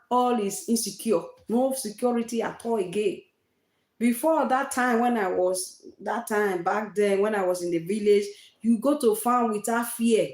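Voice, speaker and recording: A woman's voice: mid-range voice, a little mellow, roomy sound. A woman from Nigeria with a Nigerian accent, hosting a casual livestream chat and repeating herself for emphasis. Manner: repetitive, emphatic, uses Nigerian fillers like eh and oh. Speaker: live-stream host.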